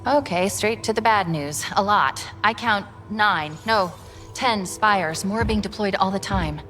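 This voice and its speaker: A woman playing a curious, chirpy young AI companion in a video game, playful and quick-witted. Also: medium pitch, fairly bright tone, lightly acted. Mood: bright, curious. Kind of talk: cinematic video game acting. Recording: music behind the voice.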